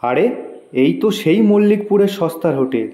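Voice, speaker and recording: A man's voice: a little high, lively intonation, a little fast, dark tone, natural voice. A man voicing a hardworking village farmer father in a cartoon, mild, plain and practical. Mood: plain, concerned. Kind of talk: cartoon dialogue. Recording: echoey reverberant voice track.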